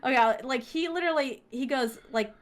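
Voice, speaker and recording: High-voiced chatty woman telling ghost stories. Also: normal speed. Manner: animated, acts out voices in her stories; laughs often, fillers like "like". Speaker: interview guest, content creator. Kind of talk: casual chat, storytelling.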